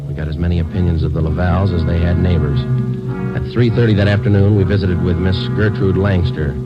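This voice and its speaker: An actor with an American accent playing a matter-of-fact police detective sergeant in terse radio drama style. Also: male voice, low-pitched, fairly quick, natural voice. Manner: deadpan, clipped. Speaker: lead actor and narrator. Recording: muffled old 1940s radio recording, organ-era music.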